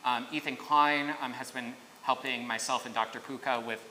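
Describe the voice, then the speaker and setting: Man in his thirties presenting research, bright halting voice, echoey hall with faint noise. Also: medium pitch, fairly even intonation. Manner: halting, filler-heavy, restarts mid-thought. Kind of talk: conference talk with Q&A.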